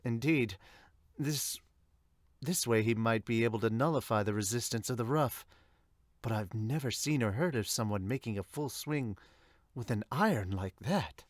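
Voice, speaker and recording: Guy doing a worked-up golf announcer from a manga, pitch jumps when shocked, dry close sound. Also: normal speed, no room sound, natural voice. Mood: tense, excited. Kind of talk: sports commentary.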